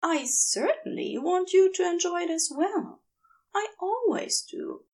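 Casting: anxious, tender male angel for audio fiction, high-pitched, fussy, hushed and hesitant played by a woman. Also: animated, measured pace, recorded from a distance, heavily acted. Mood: hopeful, earnest.

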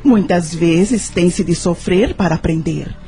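An actress playing a sympathetic, curious female friend in a radio drama, gentle and thoughtful. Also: fairly low pitch, measured pace, soft background music. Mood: thoughtful, advising. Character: Lúcia, sympathetic, curious woman friend and confidante.

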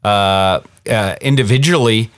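A man's voice: on the low side, unhurried, fairly bright tone, clear, resonant tone, no echo, studio quality. A male podcast host with a rambling, heartfelt manner, full of "you know" and "uh" fillers. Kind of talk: reflective interview conversation. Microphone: remote interview over the internet.

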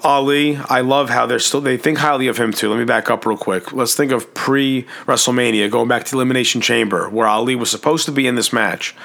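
A man with a raspy, hoarse voice, hosting a casual wrestling predictions talk, enthusiastic and profane, rambling with restarts. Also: mid-range voice, fairly bright tone. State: hoarse, clearing throat. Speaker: wrestling podcast host.

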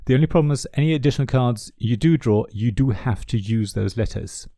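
A man whose first language is German, chatting casually about board games as a podcast co-host, with a relaxed, rambling delivery full of restarts. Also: mid-range voice, a little fast, dry recording, studio quality. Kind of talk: casual board game chat.

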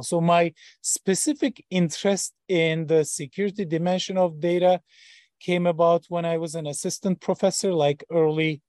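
A man in his forties, from Turkey, speaks as a podcast guest in a fairly high voice. He hesitates often and fills the gaps with many ums and uhs. The sound is close and dry. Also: darkish tone, no echo. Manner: hesitant, many fillers and restarts. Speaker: startup founder and professor. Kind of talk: interview guest.

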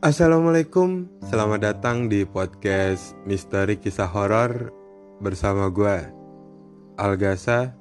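A man's voice: lowish voice, unhurried. A man hosting a horror podcast, reading scripted narration. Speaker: podcast host. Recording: music bed behind the voice. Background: background music.

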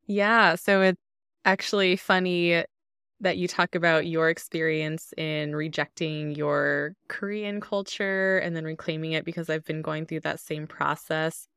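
A woman who is a guest on an interview podcast, speaking in an earnest, measured way. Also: low-pitched, fairly even intonation, fairly bright tone, clean tone, dry recording, studio quality. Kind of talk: interview conversation.

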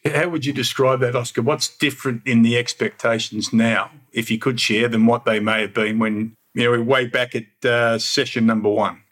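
A man's voice: mid-range voice, level tone, normal speed, hardly any pauses, fairly bright tone. A man hosting an interview podcast, asking friendly, rambling questions. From Australia. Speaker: podcast host. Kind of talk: interview host.

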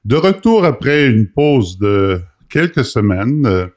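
A man's voice: mid-range voice, sing-song, slow pace, a little mellow. A man hosting a radio talk show, greeting listeners and questioning his guest. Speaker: radio host. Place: radio studio.

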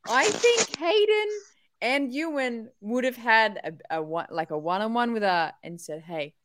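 A woman from Australia, a call-in guest on a podcast, chatting excitedly with lots of fillers. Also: fairly high pitch, unhurried, noisy background. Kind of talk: casual podcast chat. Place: home.

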